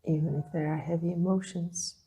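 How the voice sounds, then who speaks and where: A woman leading a guided meditation, speaking in a soothing, gentle way with long pauses between phrases. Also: on the low side, steady tone, breathy edge. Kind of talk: guided meditation, calm instruction.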